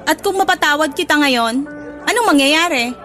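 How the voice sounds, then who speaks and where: A woman's voice: high-pitched, lively intonation, normal speed, sharp tone, faint music behind. An actress playing a hurt, feisty young woman in a Filipino radio drama, with an indignant, exasperated delivery and a Filipino accent.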